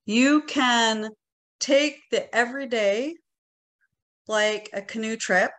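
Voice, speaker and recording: A woman's voice: a little high, slow, a bit bright. A middle-aged woman explaining things to an online club with enthusiasm and emphasis. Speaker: workshop presenter. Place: living room on a video call.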